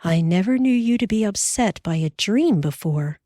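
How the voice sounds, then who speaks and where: Woman narrator voicing a frontier mother, higher pitch, soft sleepy manner, dry close sound. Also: expressive, moderate pace, no room sound, natural voice. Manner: soft, sleepy, then alarmed. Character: frontier mother, calm and practical.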